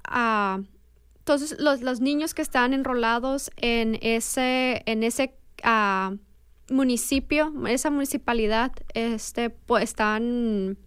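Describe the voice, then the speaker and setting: A woman with a Mexican accent asks questions in a relaxed interview. Her voice sits slightly high and is very smooth and clean. It is recorded dry and close, with no room sound. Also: slow pace, dry recording. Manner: hesitant, many restarts and fillers. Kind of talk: casual interview chat.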